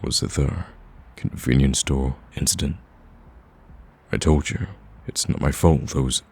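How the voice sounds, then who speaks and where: A man playing a smug, flirtatious villain in an ASMR roleplay, teasing the listener in a casual, drawling, gravelly voice. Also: steady tone, measured pace, darkish tone, slight background noise, heavily acted. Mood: cocky self-justifying. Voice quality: deep, gravelly.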